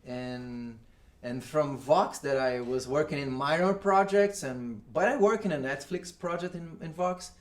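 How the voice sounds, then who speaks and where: Brazilian man with halting, restarting English. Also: on the high side. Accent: Brazilian Portuguese. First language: Portuguese. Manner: halting non-native English with many restarts. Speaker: guest, audio engineer. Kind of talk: casual interview chat.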